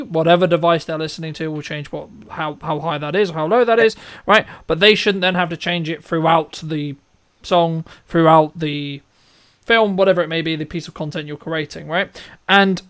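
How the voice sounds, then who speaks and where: A man's voice: on the high side, normal speed, fairly bright tone. A man hosting a casual interview, talking in a rambling, repetitive way and often checking in with short tag questions. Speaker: interview host, filmmaker.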